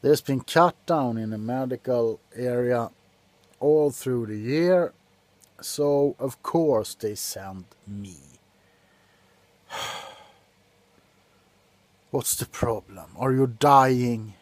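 A middle-aged man playing a grumpy, drunk doctor in an ASMR roleplay, grumbling sardonically close to the mic between soft talk and whispers. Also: mid-range voice, drawn-out words, long pauses, no room sound. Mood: exasperated. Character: grumpy, drunk male doctor on a Christmas house call. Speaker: ASMR performer. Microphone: very close to the face.

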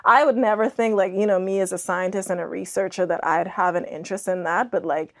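A woman's voice: mid-range voice, fairly even intonation, a little fast. A young woman from the Bahamas, talking casually as an interview guest, often dropping in "like" and "you know". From Andros, Bahamas. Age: young adult. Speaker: podcast guest, scientist and author. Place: small indoor podcast studio.

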